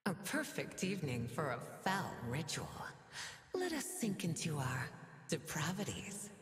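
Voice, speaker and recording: An actress playing a seductive, cruel demon queen in a video game, with breathy whispers, a menacing purr and theatrical archaic lines. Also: low voice, very animated, a little slow. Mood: playful menace. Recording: reverberant game audio with music.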